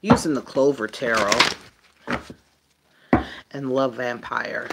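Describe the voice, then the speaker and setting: A woman doing a casual, improvised tarot reading for viewers, thinking aloud in a halting way and trailing off mid-phrase. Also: very deep voice, vocal fry, very noisy. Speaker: tarot reader.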